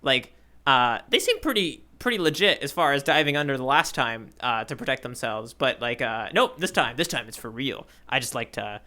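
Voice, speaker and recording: A man co-hosting a casual manga discussion podcast in a playful, animated way. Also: mid-range voice, moderate pace. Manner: playful, animated, with spoken sound effects. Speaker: podcast co-host.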